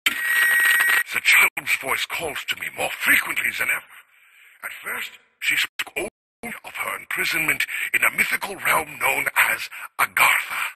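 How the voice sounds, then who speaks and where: Game audio log: obsessed male scientist, formal and brooding, gravelly edge, animated intonation. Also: on the high side, unhurried, heavy background noise, full character voice. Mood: troubled. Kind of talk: scripted audio-log monologue.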